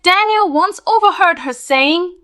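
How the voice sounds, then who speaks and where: A woman narrating a spooky scripted tale in a dramatic, deliberate storytelling manner. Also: extremely high pitch, lively intonation, a bit bright, no echo, clean studio sound.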